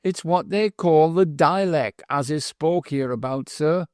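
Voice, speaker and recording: A man's voice: on the high side, a little slow, no room sound, clean studio sound, voice pushed up, lightly acted. A man voicing a pompous former butler turned innkeeper in a treble, wheezy, slightly mincing voice, deferentially calling everyone sir. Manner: deferential, says sir constantly.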